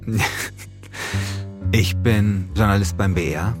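A man's voice: lowish voice, takes it slowly. Wry male podcast host, music behind. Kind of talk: scripted podcast narration and casual chat.

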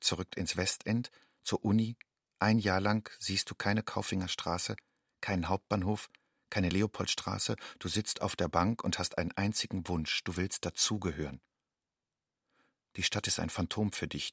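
Anxious young outsider student, radio play monologue, deep husky voice, measured and inward. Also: male voice, fairly bright tone, no echo, studio quality, heavily acted. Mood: wistful, guarded. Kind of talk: radio drama monologue in second person.

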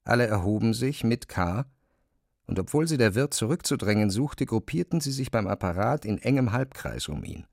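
A man's voice: lowish voice, animated, normal speed, vocal fry, a little mellow, dry recording, clean studio sound. A man reading scripted literary narration as the narrator of an audio drama.

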